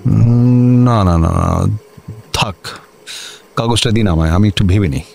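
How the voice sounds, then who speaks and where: An actor playing an officious, impatient district magistrate in a comic radio drama, curt and full of questions. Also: male voice, lowish voice, unhurried, gravelly, a little mellow.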